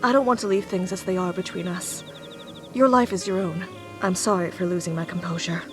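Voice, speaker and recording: A woman's voice: fairly low pitch, fairly even intonation, close to an everyday voice. Woman playing a solemn, sorrowful ancient forest goddess. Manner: solemn, formal, measured speech. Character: sorrowful ancient goddess of bloodthirsty dark woods. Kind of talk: visual novel voice acting. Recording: background music.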